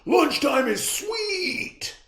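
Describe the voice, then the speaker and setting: A reader voicing a loud, wild, exuberant boy shark in a children's storybook. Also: very high voice, very animated, very slow, echoey room, voice pushed up, heavily acted. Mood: exuberant, shouting. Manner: shouting, bellowing enthusiasm. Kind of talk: animated children's storybook read-aloud.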